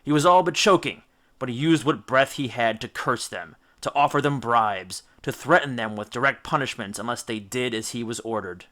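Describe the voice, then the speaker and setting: Male audiobook reader: mid-pitched, bright, forceful, theatrical narration of an adventure tale. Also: normal speed. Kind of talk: dramatic scripted storybook narration.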